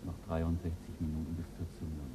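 An actor playing the commanding officer of a spaceship crew, giving urgent orders in a radio drama. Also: male voice, deep voice, flat delivery, a little fast, noisy background, lightly acted. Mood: tense. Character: ship commander Jell, tense and in charge. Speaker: crew leader.

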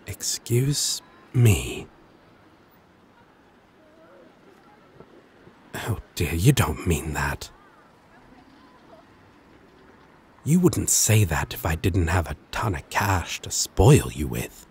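Slow, low-voiced flirty demon played by a man. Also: very animated, a little mellow, slight background noise, full character voice. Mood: flustered, incredulous. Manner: teasing, halting, dramatic delivery. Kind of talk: ASMR romance roleplay acting.

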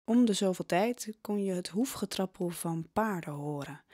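A woman's voice: lowish voice, expressive, normal speed, warm tone, no echo, studio quality. A woman narrating a scripted audio drama as a dramatic storyteller.

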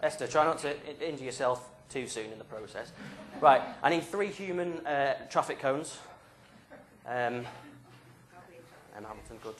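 A man's voice: mid-range voice, lively intonation, moderate pace. A young man leading a youth group in a small church hall, speaking into a handheld mic in a playful, joking, game-show manner. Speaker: youth leader. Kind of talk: lively talk to a youth group. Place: small church hall with stage and drum kit.

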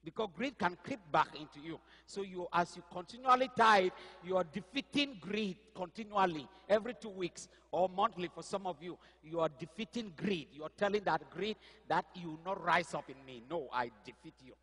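A man in his fifties whose first language is not English, preaching a sermon on a church stage with a headset mic, animated and gesturing as he searches aloud for words. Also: high voice, normal speed, few pauses, breathy edge, big-room sound. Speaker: pastor. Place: stage of a large church hall.

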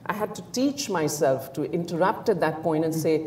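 A woman in her sixties with an Indian accent tells stories on a panel, bringing them to life by acting out what people said. Her voice sits low and sounds mellow. It is heard from a distance in a reverberant room, with a faint hiss underneath. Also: fairly quick. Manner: animated storyteller, acts out quoted lines, laughs. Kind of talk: anecdotal panel discussion. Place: bright large indoor room, seated in armchair.

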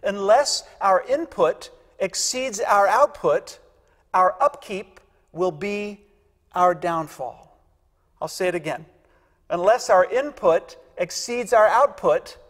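A man's voice: high voice, a bit bright. A man in his fifties, a pastor giving a sermon in a church sanctuary, emphatic and repeating key phrases for weight. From United States. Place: large church sanctuary, indoors. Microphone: lapel mic. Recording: long church reverb.

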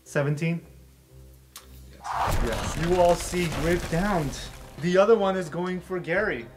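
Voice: medium pitch, unhurried. A game master narrating an improvised tabletop fantasy game in a casual, informal way. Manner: casual, informal, swearing freely. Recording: music under the talk. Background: music.

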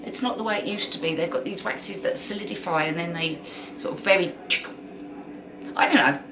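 A woman's voice: fairly low pitch, brisk, reverberant, noisy background. A middle-aged woman with a London accent, chatting bluntly and dryly as a guest on her daughter's vlog in a small bedroom. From London, England. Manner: blunt, dry and joking. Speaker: YouTuber's mother, guest. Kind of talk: casual chat.

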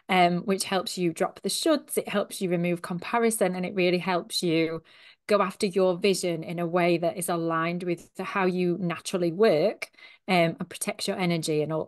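A chatty Yorkshire woman in her thirties, talking with her hands as she tells her story. Her voice sits a touch low. She sounds like she is on a video call from a small home office, wearing headphones. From Sheffield, South Yorkshire, England. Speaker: guest, human design coach. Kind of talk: casual interview chat.

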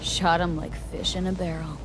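A woman's voice: on the low side, flat delivery, moderate pace, background noise, lightly acted. An actress playing a cocky, hard-edged female mercenary in a video game, sardonic and unflappable. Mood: threatening. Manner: sardonic, street-slang, unflappable. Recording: music behind.

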